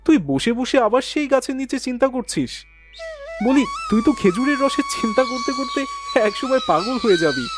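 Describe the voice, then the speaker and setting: A man's voice: extremely high pitch, huge pitch swings, over music, heavily acted. A man playing a loyal, teasing village friend in a fairy tale cartoon, brushing off worries. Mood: teasing, concerned. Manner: brisk, teasing, dismissive of worry.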